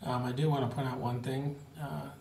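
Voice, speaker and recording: A man in his forties giving a relaxed, plain-spoken video update to supporters from a small home office, with frequent fillers. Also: mid-range voice, moderate pace, a little hiss or hum. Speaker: missionary giving a family update. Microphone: webcam at desk. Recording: roomy webcam audio with faint ambience.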